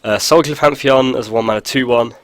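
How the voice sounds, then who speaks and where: A man with a head cold, his voice stuffy and sniffly, rambles through a casual card-game walkthrough. He talks at an everyday pitch, peppering his talk with ums and dry asides. The recording is dry and close, with little room sound. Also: fast talker, dry recording. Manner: rambling, filler-heavy, dry jokes. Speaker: video host. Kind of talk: casual gameplay commentary.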